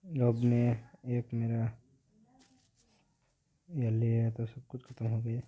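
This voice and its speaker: A man's voice: mid-range voice, level tone, slow pace, slightly rough, muffled recording. A young man with an Indian accent giving casual mobile game commentary, often repeating short phrases. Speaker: gaming video host.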